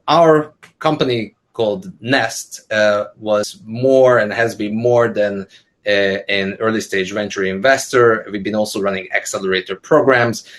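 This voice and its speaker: A man's voice: on the low side, a bit bright. Man from Hungary speaking on a webinar. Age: 30s. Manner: many fillers and restarts, conversational. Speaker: panel speaker, entrepreneur. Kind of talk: casual spoken talk. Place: indoor room, video call. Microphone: video call.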